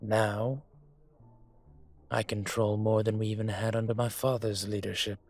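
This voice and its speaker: A man playing a brooding, courteous and vengeful dragon mafia boss in an intimate audio roleplay, speaking in a measured, hesitant way with a husky voice. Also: fairly low pitch, level tone, a little mellow, faint music behind. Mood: grim, resolute. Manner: measured, hesitant, pausing often. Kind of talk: audio roleplay drama, intimate dinner conversation.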